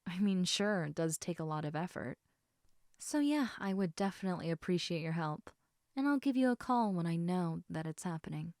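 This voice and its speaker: A woman voicing an affectionate, teasing half-snake lamia in a casual, intimate audio roleplay, chatting informally with halting, trailing thoughts. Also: on the low side, expressive, darkish tone, dry recording, studio quality. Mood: content, chatty.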